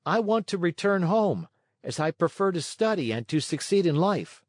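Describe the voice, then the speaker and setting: A man's voice: high voice, sing-song, moderate pace, no echo, studio quality, voice pushed up. A man voicing a wooden marionette boy in a storybook, earnest, easily tempted and given to exclaiming. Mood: torn, hesitant. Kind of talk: storybook character voice.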